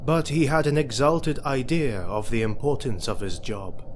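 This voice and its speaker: A man's voice: medium pitch, a little hiss or hum. A man narrating a fantasy audio drama in a scripted storytelling style. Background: background music.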